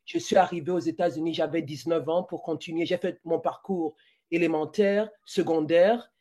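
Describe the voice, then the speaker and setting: A woman's voice: deep voice, steady tone, normal speed, mellow. A woman in her forties from Cameroon, speaking as a podcast guest, slipping English words into her French with many restarts. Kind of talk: conversational interview.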